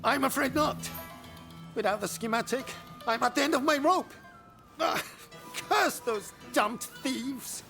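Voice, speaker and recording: A man voicing an excitable, eccentric inventor in a video game, flustered and theatrical in his delivery. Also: extremely high pitch, unhurried, vocal fry, long pauses, faint music behind, heavily acted. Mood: distraught. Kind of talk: video game cutscene acting.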